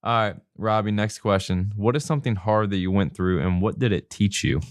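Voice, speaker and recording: A man's voice: fairly low pitch, fairly quick, no echo, clean studio sound. A man hosting a podcast, asking the questions in an upbeat, encouraging way. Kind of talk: casual podcast chat.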